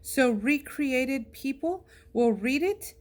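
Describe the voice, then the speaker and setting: A woman's voice: high-pitched, lively intonation, slow. A woman reading a psalm aloud as a scripture reader.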